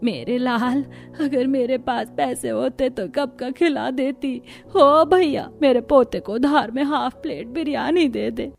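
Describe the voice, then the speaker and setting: A woman's voice: sits high, measured pace, creaky, a little mellow, faint music behind, voice pushed up. Woman voicing a careworn, poor grandmother. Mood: pleading. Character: poor, long-suffering elderly mother-in-law and grandmother. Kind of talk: Hindi cartoon story voice acting.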